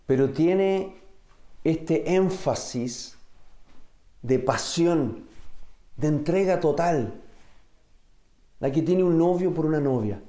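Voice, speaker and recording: A man from the United States, a native English speaker, preaching a sermon in a deliberate way, pausing and searching for words. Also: a little high, expressive, mellow. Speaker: pastor. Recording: roomy, echoing sound.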